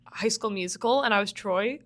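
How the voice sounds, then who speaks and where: A woman's voice: medium pitch, a little fast. Chatty young woman with frequent laughs. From Vancouver, Canada. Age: young adult. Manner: chatty, laughs often, peppers speech with "like". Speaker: podcast guest, musical theatre student. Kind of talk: casual interview chat.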